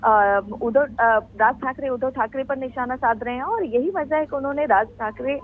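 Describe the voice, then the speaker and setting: A woman giving a live, unscripted news report in a halting, explanatory way. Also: high voice. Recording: tinny phone-line sound.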